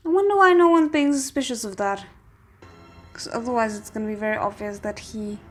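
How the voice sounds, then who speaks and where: A woman's voice: a little high, brisk, faint music behind. A woman hosting a reaction video, giving casual commentary and thinking aloud.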